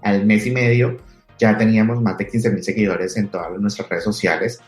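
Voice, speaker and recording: A man in his thirties with a Colombian accent, an entrepreneur telling his story in a relaxed interview with frequent restarts. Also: lowish voice, normal speed. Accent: Colombian Spanish. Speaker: entrepreneur guest. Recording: echoey room with faint music.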